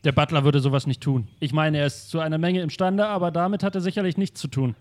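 A man's voice: on the high side, fast talker, a little mellow, close to an everyday voice. A man playing a haughty, condescending male duke. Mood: lofty.

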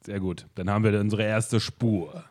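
Deep-voiced, condescending detective, a man in the role, lofty and self-important. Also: steady tone, moderate pace, a little mellow, natural voice. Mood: probing. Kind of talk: improvised comedy radio play.

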